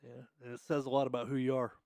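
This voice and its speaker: Middle-aged male host, mid-pitched, friendly and easygoing, dry studio sound. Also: monotone, rapid-fire, vocal fry, darkish tone, no echo. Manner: friendly, easygoing, laughs often. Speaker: podcast host. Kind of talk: casual podcast chat. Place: podcast room.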